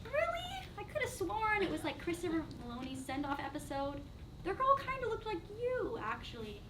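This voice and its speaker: A far-off recording with audience sounds around a woman acting an anxious woman in her early twenties. She has a high, lively voice and delivers a play's lines with dry, probing hesitation. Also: moderate pace, a little hiss or hum, heavily acted. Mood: pointed, probing. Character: anxious, wry 23-year-old woman, concerned and probing. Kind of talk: staged play reading. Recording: distant mic, audience sounds.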